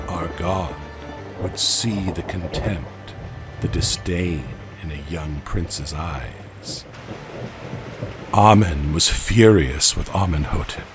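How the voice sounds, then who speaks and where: Solemn angel narrator for an audio comic , played by a man: very low, unhurried, grave and portentous, music underneath. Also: exaggerated voice. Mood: ominous, then intense, portentous. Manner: grave, portentous, theatrical, dramatic pauses. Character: solemn angel recounting ancient tragedy with grave sorrow. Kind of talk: dramatic scripted storytelling, audio comic book.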